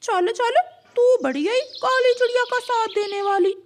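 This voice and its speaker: A woman voicing a mean male cartoon crow who talks in a loud, scornful, taunting way. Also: very high-pitched, normal speed, a little hiss or hum, voice pushed up. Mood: mocking.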